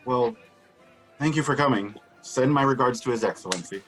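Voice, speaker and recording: A genial wealthy host in a radio drama. His mid-pitched voice is husky and courteous, eager to please, with music playing underneath. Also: expressive, normal speed, a bit bright. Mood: apologetic, anxious. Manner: courteous, placating.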